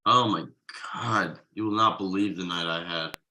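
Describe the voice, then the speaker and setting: A man's voice: low voice, moderate pace, bright, forceful tone. A man playing an exasperated, wronged friend and young father in a comedy table read, stammering and incredulous in an emphatic, conversational way.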